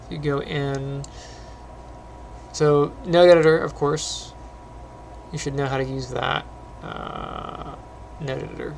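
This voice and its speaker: Middle-aged male teacher, gravelly and phlegmy, rambling casual tutorial delivery at a desk mic, slightly higher voice, faint hiss behind. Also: slow pace. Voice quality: phlegmy, clears throat often. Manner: relaxed, rambling, many ums. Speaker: animation teacher. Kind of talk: casual screen-recorded tutorial chat.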